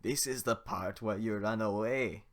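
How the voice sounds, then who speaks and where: A man voicing a big gruff male ogre, drawing out his threats with smug menace. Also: on the low side, close to an everyday voice. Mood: gloating. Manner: mock-menacing, drawn-out threats.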